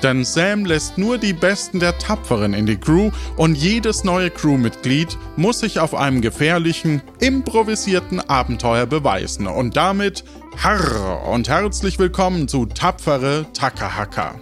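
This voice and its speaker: A man's voice: a little high, very animated, a little slow, soft background music. A man hosting and narrating an improvised audio adventure game as its game master.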